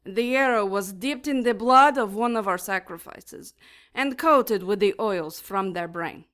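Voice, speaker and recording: An actress playing a taunting, smugly sadistic female demon in an audio drama, making mock-polite threats with deliberate menace. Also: medium pitch, fairly bright tone, lightly acted.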